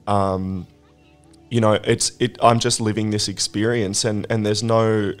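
A hoarse man who has lost his voice, hosting a recovery podcast and musing in a halting, reflective way. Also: on the low side, level tone, faint music behind. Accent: British. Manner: halting, with many restarts. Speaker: podcast host. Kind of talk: reflective casual chat.

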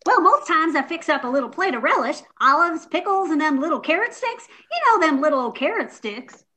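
A woman's voice: sits high, very animated, brisk, sharp tone, full character voice. A woman playing a chirpy little male brownie elf with a Southern US accent, folksy and bubbly, in a comic radio play. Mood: cheerful, folksy.

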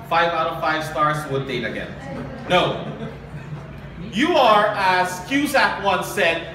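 A young man performing spoken word poetry live, earnest and deliberate, with dramatic pauses. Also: high-pitched. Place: small live open-mic event. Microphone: handheld and stand microphone, heard from a distant camera. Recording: distant, very echoey, noisy live recording.

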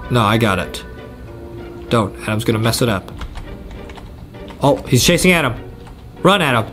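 A young man hosting a gaming video with excited, repetitive commentary, talking straight to his viewers. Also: medium pitch, normal speed. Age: young adult. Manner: animated, repetitive, addressing viewers. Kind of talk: excited let's-play commentary. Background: game music.

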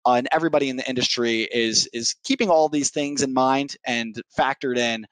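A man's voice: medium pitch, fairly quick, a bit bright, dry recording. A man in his thirties giving business answers as an interview guest, speaking into a headset on a video call. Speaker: technical sales executive, podcast guest. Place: home living room, indoors, on a video call. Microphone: headset mic.

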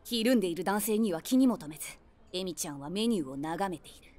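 A woman's voice: lowish voice, lively intonation, quick pace, darkish tone, lightly acted. An actress playing a wry woman of forty-six, a self-deprecating eavesdropper narrating an audio drama conversationally in the first person. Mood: amused commentary. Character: wry 46-year-old woman, eavesdropping daydreamer.

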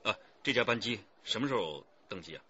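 An actor playing a decisive male police detective chief in a radio drama, speaking in a commanding, deliberate way. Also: on the low side, expressive, sharp tone. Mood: brisk, commanding. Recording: dull radio drama with noisy background.

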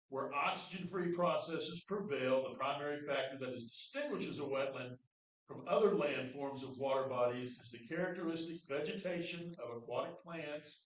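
A middle-aged man, giving a relaxed, loud informal lecture in a classroom with no microphone. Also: on the high side. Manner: loud, relaxed, folksy delivery. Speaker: park superintendent giving a training talk. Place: classroom with projector screen, indoors. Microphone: far camera, no microphone. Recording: echoey room, speaker far from mic.

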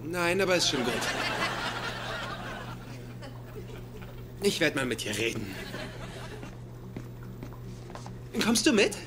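Casting: awkward young sitcom guy, hesitant, stammering, very animated intonation, over loud background noise. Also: a little high, fast. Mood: insecure. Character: awkward, insecure young man, nervous about relationships. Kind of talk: dubbed sitcom dialogue.

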